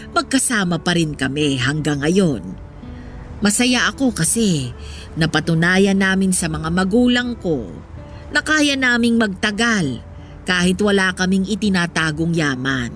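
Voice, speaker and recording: A woman playing an old, poor Filipino mother in a radio drama, wistful and gently wise, speaking in an earnest, reflective way. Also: on the low side, lively intonation, measured pace. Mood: tender, earnest. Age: older. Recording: music behind the voice.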